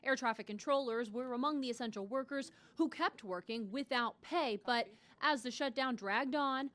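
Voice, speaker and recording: A woman's voice: high-pitched, normal speed, fairly bright tone. An American woman narrating a scripted television news report as a national correspondent.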